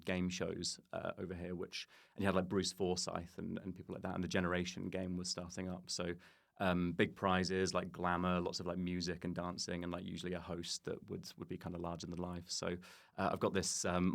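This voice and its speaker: A man's voice: sits low, vocal fry. A British man chatting casually as a comedy podcast guest, in a rambling, enthusiastic way.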